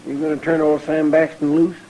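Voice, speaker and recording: A folksy frontier deputy acted by a man, rather high in voice, with a rural American drawl. He is earnest, eager and deferential. The old radio western recording is slightly noisy. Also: little pitch movement, fairly quick, warm tone, natural voice.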